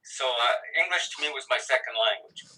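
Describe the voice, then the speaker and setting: A man's voice: mid-range voice, fairly quick, pushed and bright. A man in his sixties, a guest on a video call, talking casually, rambling and correcting himself with a wry edge. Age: 60s. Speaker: interview guest. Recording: distant video call audio.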